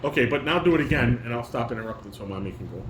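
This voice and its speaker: A father directing a family table read, reading stage directions haltingly and correcting himself as he coaches. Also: mid-range voice, animated. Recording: roomy, noisy home recording.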